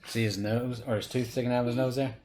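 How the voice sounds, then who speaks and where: A man's voice: medium pitch, steady tone, quick pace, a little mellow, slight background noise. A middle-aged man hosting, chatting casually and teasingly with his son while they watch wrestling footage. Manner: teasing, chatty, laughs easily.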